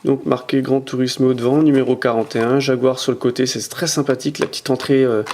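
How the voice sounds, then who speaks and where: A man at home chatting to a camera while he shows off toy cars, his talk halting and packed with fillers and repeated words. He turns each item toward the lens as he goes, sometimes drifting a little away from the mic. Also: mid-range voice, level tone, a little mellow, slight background noise. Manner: hesitant, many "euh" fillers and repeated words. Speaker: toy car collector reviewing his collection. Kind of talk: casual unboxing commentary.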